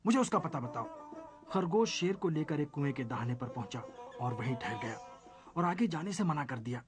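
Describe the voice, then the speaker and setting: Man, storyteller, scripted children's narration, fairly high pitch, clear music bed. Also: expressive, normal speed.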